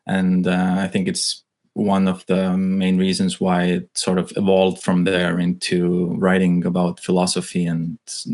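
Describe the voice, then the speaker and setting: A young man from Estonia, a native Estonian speaker, talking slowly and thoughtfully on a remote call. His voice sits a little low, and he hunts for words with many fillers and restarts. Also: monotone, a little mellow. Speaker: guest, writer and musician. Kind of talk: casual interview over a call.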